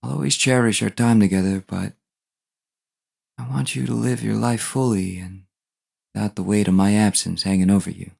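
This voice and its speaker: A man playing the gentle spirit of a dead partner in an audio drama, solemnly reading a loving goodbye letter. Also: on the low side, steady tone, moderate pace, vocal fry, slightly rough, full character voice.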